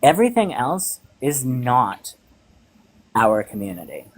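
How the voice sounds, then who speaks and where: Slow, halting man hosting a live chat. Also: medium pitch, wildly swooping pitch. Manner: slow, halting, many ums. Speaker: live stream host.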